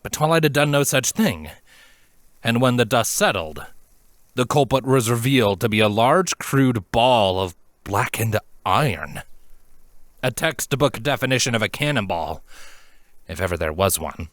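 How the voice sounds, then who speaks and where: A man's voice: mid-range voice, expressive, measured pace, dry recording, studio quality. A man reading a fantasy story aloud as a dramatic scripted narration. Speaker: fan fiction narrator.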